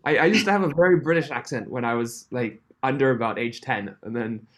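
A man in his thirties, raised in Switzerland, with a mixed, faintly Canadian accent, chatting as a podcast guest, friendly and quick to laugh. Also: medium pitch, a bit bright. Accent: mixed, Canadian-tinged. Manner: friendly, laughs often, restarts phrases. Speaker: podcast guest, company founder. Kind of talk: casual interview chat.

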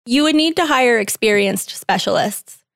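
A woman reading a guest's typed interview answers aloud in a measured, plain way. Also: a little high, animated, gravelly, no room sound, studio quality.

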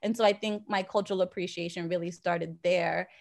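A woman's voice: on the low side, fairly even intonation, fairly quick, creaky. A woman with an American accent speaking as an interview guest, telling personal stories conversationally and repeating phrases for emphasis. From born in Jamaica, grew up in Boston, USA. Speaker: podcast guest, anthropologist and professor.

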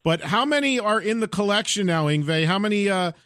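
Upbeat high-voiced middle-aged male host. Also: animated, a little fast, fairly bright tone, dry recording, clean studio sound. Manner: chatty, enthusiastic. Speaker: radio and podcast host. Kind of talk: interviewing a guest.

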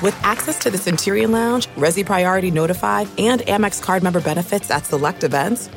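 A woman's voice: medium pitch, fairly quick, vocal fry. A woman reading scripted commercial narration as an advertisement announcer. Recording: music bed behind voice. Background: music.

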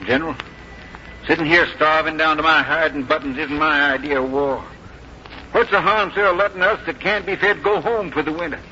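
Piercing, stubborn backwoods soldier. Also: male voice, a little high, normal speed, pushed and bright. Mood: stubborn, pleading. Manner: folksy, blunt, defiant. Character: stubborn, hungry, plain-spoken backwoods Revolutionary War soldier. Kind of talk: 1950s American radio drama acting. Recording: muffled, noisy 1950s radio recording.

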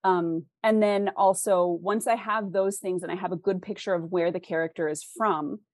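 A woman with a West Coast American accent talks in a fairly low register, recorded very dry. She is an interview guest who explains things thoughtfully and articulately, often framing points as questions. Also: steady tone, normal speed, darkish tone, no room sound. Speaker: guest author.

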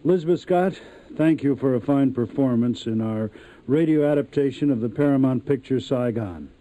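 A man's voice: medium pitch, normal speed, mellow, dull audio. A man hosting a radio show, interviewing a guest in a polite, presenterly way.

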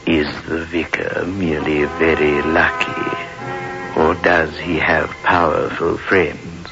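Sly ghoulish radio horror host, gravelly, slow and deliberate, muffled old recording. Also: male voice, little pitch movement, loud music behind the voice, full character voice. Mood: sly, teasing. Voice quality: deep, creaky. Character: sly, macabre male storyteller with ghoulish humour.

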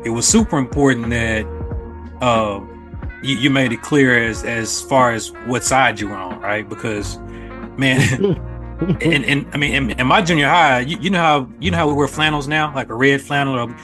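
A man's voice: medium pitch, moderate pace, bright, forceful tone, faint music behind. An American man from Arkansas talking casually and reflectively as a podcast guest. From Little Rock, Arkansas, US. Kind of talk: casual conversational reminiscence.

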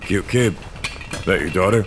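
A 150-year-old augmented great-grandfather in an audio drama, very low in pitch. He is weary, self-absorbed and halting in his delivery, with clear ambient noise behind him. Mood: awkward, explaining. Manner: hesitant, halting, low-key. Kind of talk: audio fiction acting with first-person narration.

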